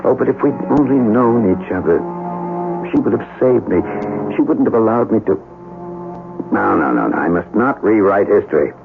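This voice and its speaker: An actor playing a troubled, courteous chemist in a 1970s radio drama, with a courtly, earnest, theatrical delivery. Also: male voice, on the low side, expressive, normal speed, vocal fry. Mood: brooding, anguished. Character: troubled, courteous male chemist haunted by his past. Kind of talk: 1970s radio drama acting with brooding monologue. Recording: dull vintage radio recording, music behind.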